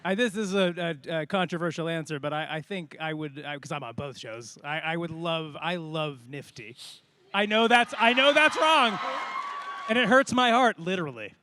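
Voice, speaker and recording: A man's voice: fairly high pitch, normal speed. A man, a voice actor, bantering in a jokey, emphatic way on a live convention panel. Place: large convention panel hall. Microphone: stage microphone. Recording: noisy live convention hall PA.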